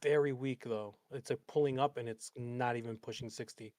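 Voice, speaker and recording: A man's voice: medium pitch, fairly even intonation, fairly quick, darkish tone, no room sound, studio quality. A man hosting a live trading stream, talking through charts and chatting with an easy laugh. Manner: chatty, laughs easily, thinks aloud. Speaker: host trader.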